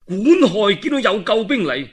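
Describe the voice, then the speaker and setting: A man with a Cantonese accent performs traditional historical storytelling. His voice sits fairly high and bright, with a husky grain. He is dramatic and expressive, dropping in colloquial asides. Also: moderate pace.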